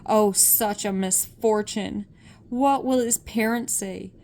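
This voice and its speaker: A woman's voice: medium pitch, fairly even intonation, takes it slowly, darkish tone. A woman playing a distressed, scolding storybook king who groans and laments. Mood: dismayed.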